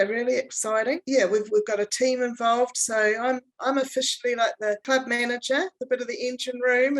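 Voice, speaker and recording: A woman's voice: on the high side, rough recording. A woman with a New Zealand accent, the club manager, chatting with guests on a video call with many ums and restarts. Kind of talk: warm online yarn with guests.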